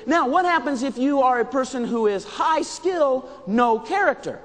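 American guy doing a motivational talk on stage, really high raised voice, emphatic, big echoey hall. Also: animated, normal speed. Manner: emphatic, projecting, repeats audience answers. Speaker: motivational keynote speaker. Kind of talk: interactive motivational speech to an audience. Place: stage in a large indoor conference hall. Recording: reverberant large hall.

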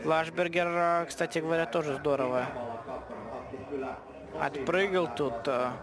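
A man giving live sports commentary in a relaxed, conversational way, often pausing to think aloud. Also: fairly high pitch, crowd noise.

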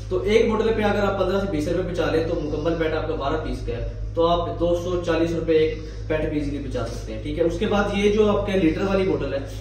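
A young man with a Pakistani accent gives an informal, explanatory product demonstration. His voice sits high for a man. He is heard from a distance in a very echoey tiled room, with faint noise behind him. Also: darkish tone. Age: 20s. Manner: explanatory, with frequent check-in tags. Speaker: business video host demonstrating machinery. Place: small tiled indoor factory room.

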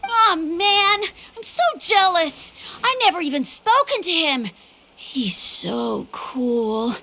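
A woman voicing an excitable young boy, gushing and eager, in video game dialogue. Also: very high-pitched, takes it slowly, a little hiss or hum, exaggerated voice.

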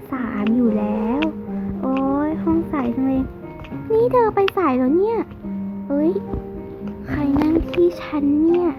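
A young female performer voicing a vain, sassy, grumbling schoolgirl with drawn-out exclamations in a game role-play drama. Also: high voice, poor audio. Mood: boastful. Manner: exclamatory, drawn-out whining interjections.

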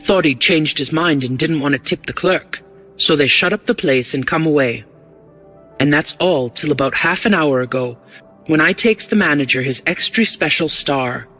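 A man's voice: on the high side, normal speed, pushed and bright, faint music behind, low-quality recording. A man voicing a glib, eager porter in an audiobook, with a working-class London accent and a confiding, deferential manner. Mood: gossipy, excited.

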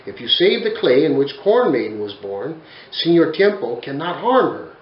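A man voicing a wise, calm owl of the forest in a read-aloud storybook. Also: a little high, moderate pace, echoey room, highs cut off.

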